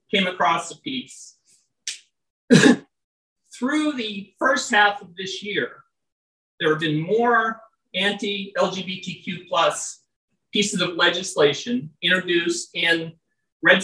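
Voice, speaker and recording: A poet with a loud voice reading their own work at a live venue, chatty and wry between poems and slow and measured when reading. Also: medium pitch. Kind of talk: live poetry reading with casual asides. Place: indoor venue with live audience. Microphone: stage microphone, often off-mic. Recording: roomy, distant live-venue sound.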